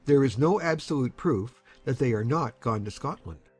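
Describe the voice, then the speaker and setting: A man playing a reasonable, hopeful middle-aged uncle in a dramatized period novel, speaking in a measured, deliberate way. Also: mid-range voice, animated, husky, soft background music. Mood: measured, reassuring.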